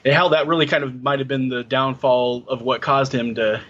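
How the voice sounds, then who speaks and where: Guy in his 30s on a podcast, bright kinda tense voice, lots of ums, dull lo-fi sound. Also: medium pitch, fairly even intonation, brisk. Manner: hesitant, many fillers and restarts. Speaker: podcast co-host. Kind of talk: casual chat.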